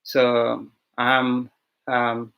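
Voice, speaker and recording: A man from Sri Lanka with a Sri Lankan accent, a monk teaching an online beginners' language lesson, unhurried with many fillers and restarts. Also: mid-range voice, completely flat delivery, vocal fry, fairly bright tone, clean tone.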